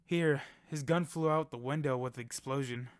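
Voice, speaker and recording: A man playing an excitable, naive young rookie in an audio drama, easily startled and full of questions. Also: mid-range voice, moderate pace, smooth, close to an everyday voice. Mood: baffled.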